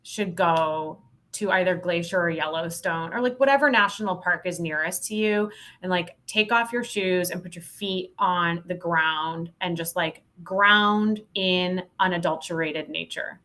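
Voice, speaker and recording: An American woman speaks in a slightly low register as a podcast guest. Her delivery is informal and animated, with a self-deprecating streak, in a casual conversational interview style. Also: a little slow. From Montana, United States. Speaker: podcast guest, sales professional.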